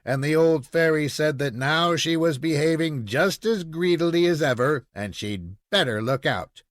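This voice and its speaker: A man reading a children's story aloud in an expressive, measured storytelling manner. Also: on the high side, no room sound, clean studio sound. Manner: measured storytelling with acted dialogue. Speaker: audiobook reader.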